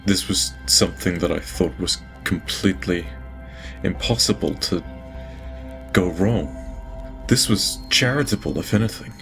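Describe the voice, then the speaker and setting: An actor playing a guilt-ridden lord in an audio drama, dictating a private log in halting, stammering speech broken by sighs. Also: male voice, sits low, gravelly, fairly bright tone, full character voice. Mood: shaken. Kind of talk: audio drama acting, personal log monologue. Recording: music behind the voice. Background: music.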